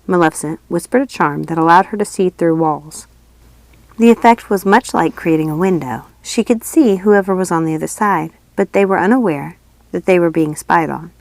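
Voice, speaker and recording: A woman's voice: fairly low pitch, normal speed, darkish tone, no room sound, rough recording. A woman reading a fairy tale aloud as scripted storybook narration. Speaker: audiobook reader.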